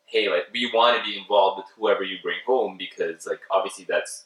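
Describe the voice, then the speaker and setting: A man's voice: sits low, a little fast, a bit bright. A young man chatting casually and hesitantly, full of "like" and "um". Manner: hesitant, many "like" and "um", restarts. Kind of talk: casual chat. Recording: roomy, mic at a distance.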